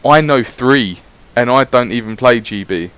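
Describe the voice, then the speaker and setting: A man's voice: medium pitch, a little slow, a bit bright, smooth, rough recording. A man giving casual, rambling voice-over commentary on his gameplay, swearing freely as he chats. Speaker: gaming YouTuber.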